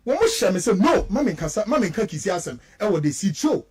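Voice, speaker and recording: A man's voice: high voice, very animated, brisk, a little mellow, husky. A man with a Ghanaian accent giving impassioned political commentary as a radio host, emphatic and repetitive, firing off rhetorical questions. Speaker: radio talk show host.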